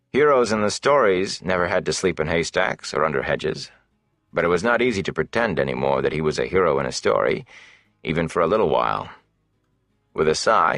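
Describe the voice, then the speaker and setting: A man's voice: rumbling low, a bit bright, no echo, studio quality. A man narrating a fantasy novel as a scripted audiobook reading.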